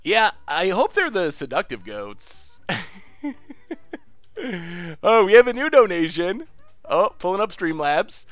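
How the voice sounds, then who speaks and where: High, lively, slightly congested man. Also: takes it slowly, long pauses, no echo. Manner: excitable, laughs often, cheers at wins. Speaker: card game streamer. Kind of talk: casual live-stream gameplay commentary.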